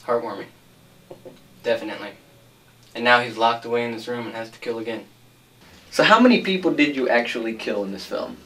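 A man's voice: mid-range voice, fairly quick, long pauses, a bit bright, recorded from a distance. A man working as an interviewer, putting questions to film cast and crew on camera.

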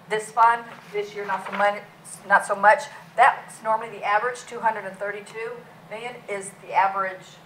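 Loud-voiced woman police chief presenting. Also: mid-range voice, slight background noise, low-quality recording. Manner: loud, plain-spoken, many fillers. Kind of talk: public budget presentation. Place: council meeting room.

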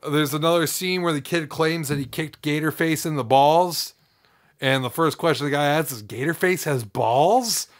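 Young male co-host with a sore throat; animated, loud excited outbursts. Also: a little high. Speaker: podcast co-host. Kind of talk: casual chat. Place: room with shelves of movies and comics.

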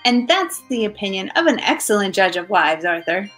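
Woman acting lively, arch detective's wife. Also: medium pitch, normal speed. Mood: arch, teasing. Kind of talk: old-time radio mystery acting. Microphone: video call. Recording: faint music behind.

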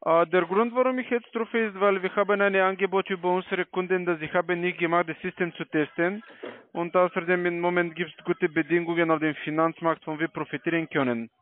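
A man with a foreign accent, speaking non-native German as a telemarketing caller giving a sales pitch. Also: steady tone, brisk, no room sound. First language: not German. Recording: tinny phone line.

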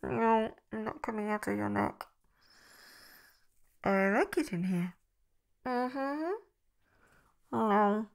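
A young woman doing a girlfriend roleplay to the listener, soft and affectionate, giggling and slipping into whispers. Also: medium pitch, takes it slowly, gravelly, long pauses. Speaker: ASMR roleplay creator.

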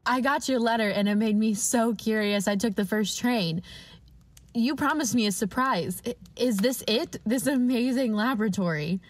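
A woman's voice: fairly high pitch, normal speed, natural voice. A young woman playing an earnest, curious, loyal scientist in an old-time radio drama, polite and proper. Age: young adult.

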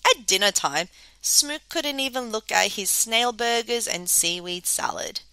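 A woman reading a children's story aloud as an expressive storyteller. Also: fairly high pitch, unhurried, no room sound, low-quality recording.

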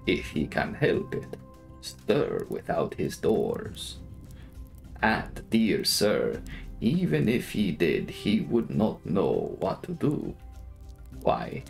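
A man voicing a proud, scornful old aristocratic vampire count in a dramatic audiobook, speaking slowly and formally with heavy weight. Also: sits low, creaky, voice pushed down, exaggerated voice. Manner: slow, weighty, formal old-fashioned phrasing. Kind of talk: dramatic audiobook character reading.

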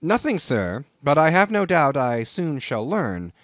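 A man's voice: fairly high pitch, sing-song, measured pace, fairly bright tone, no room sound, clean studio sound, pitched above the performer's own voice. A man voicing a polite, eager young sailor asking for a berth. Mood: earnest. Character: earnest young male sailor seeking a berth.

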